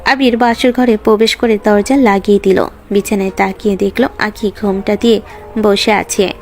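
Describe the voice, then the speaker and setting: A woman's voice: mid-range voice, steady tone, moderate pace, darkish tone, faint music behind. A woman reading scripted narration for a romantic story.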